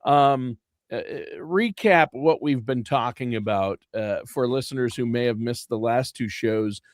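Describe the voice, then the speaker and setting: A man's voice: medium pitch, no room sound. Upbeat co-host man, dry close sound. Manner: upbeat, encouraging, quick agreements. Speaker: podcast co-host. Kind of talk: casual podcast chat.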